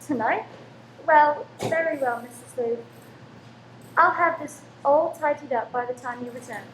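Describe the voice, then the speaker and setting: A woman playing a high-voiced anxious landlady. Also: unhurried, fairly bright tone. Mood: deferential, nervous. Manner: fussing, polite, hesitant. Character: fussy, anxious, deferential landlady and wife. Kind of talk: radio play acting. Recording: echoey room, faint noise.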